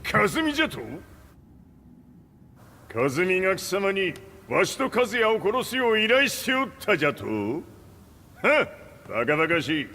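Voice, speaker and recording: An actor playing a fierce old martial-arts patriarch with a gravelly voice and a haughty, archaic, commanding manner in a video game. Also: male voice, high-pitched, sing-song, brisk, long pauses, sharp tone, long reverb tail, full character voice. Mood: haughty, incredulous.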